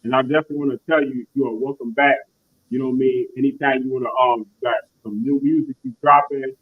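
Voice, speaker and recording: A man's voice: mid-range voice, fairly even intonation, fast talker. A man hosts an interview in a loose, rambling way, filling his thoughts with repeated asides. His voice comes through a thin, phone-like line. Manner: rambling, repeats "you know what I mean". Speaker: interview show host. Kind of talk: casual interview chat.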